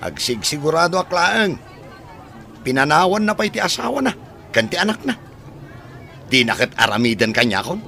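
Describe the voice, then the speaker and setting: A callous, evasive village man in an Ilocano radio drama. His voice is gravelly and sharp, with an Ilocano Filipino accent, over the bustle of people outdoors. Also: a little high, very animated, normal speed, pauses often, some chatter behind, slight background noise, exaggerated voice. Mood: dismissive, defensive.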